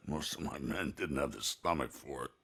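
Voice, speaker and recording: An actor playing a bitter, defeated biker gang leader in a video game, with a gravelly rasp and a weary, sardonic delivery. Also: male voice, a bass voice, moderate pace, fairly bright tone, exaggerated voice. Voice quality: gravelly, raspy.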